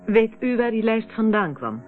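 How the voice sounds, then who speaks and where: A woman's voice: fairly high pitch, sing-song, normal speed, faint music behind. An actress playing a polite, evasive married woman in a radio play, hesitant and defensive in manner. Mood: polite, earnest. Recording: muffled, narrow-band old radio recording.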